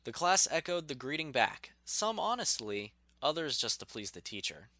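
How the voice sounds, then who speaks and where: A man's voice: fairly high pitch, big pitch swings, normal speed, crowd noise. A man narrating a scripted story expressively, in the manner of an audiobook reader.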